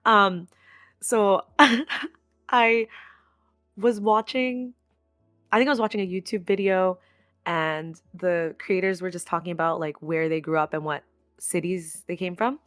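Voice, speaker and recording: A woman's voice: fairly low pitch, steady tone, measured pace, pauses often, a bit bright, dry recording, studio quality, soft background music. A woman from Canada chatting casually on a podcast, laughing often and swearing bluntly. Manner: giggly, blunt, swears freely. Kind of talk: casual chat.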